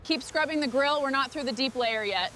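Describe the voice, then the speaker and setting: A woman's voice: high voice, a little fast, pushed and bright, slight background noise. A woman playing a focused restoration crew boss, giving short orders in acted workshop dialogue. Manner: short, instructing phrases. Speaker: crew leader in a truck restoration.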